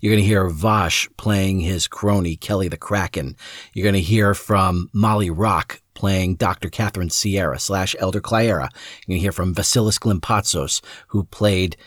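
A man's voice: deep voice, normal speed, dry recording, clean studio sound. A man hosting a podcast, speaking enthusiastically and appreciatively to his listeners in an introduction. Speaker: podcast host. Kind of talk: scripted-sounding podcast introduction addressed to listeners.

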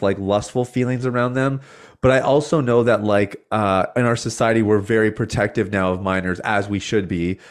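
A man in his thirties hosting a podcast, talking candidly and thoughtfully, searching for his words as he goes. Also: on the low side. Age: 30s. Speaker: podcast host. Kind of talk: candid conversational interview.

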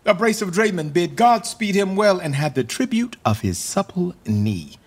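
A man's voice: high-pitched, sing-song, normal speed, husky, heavily acted. A man playing a vain, callous young king in a Shakespearean radio drama, sneering and mimicking theatrically in verse. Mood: mocking, scornful. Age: young adult.

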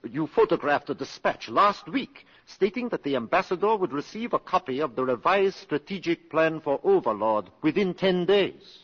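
An actor playing an anxious, insistent colonel buying secrets, speaking formally and urgently in a 1950s radio drama. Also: male voice, a little high, sing-song, moderate pace, few pauses, husky. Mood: tense, insistent. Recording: dull 1950s radio broadcast.

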